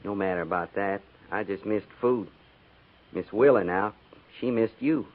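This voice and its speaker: An actor playing a folksy, loyal army sergeant with a rustic Western American accent, in 1950s radio drama style. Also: male voice, lowish voice, measured pace, lightly acted. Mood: wry, concerned. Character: folksy, loyal, plain-spoken army sergeant of the Old West. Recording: tinny, hissy 1950s radio recording.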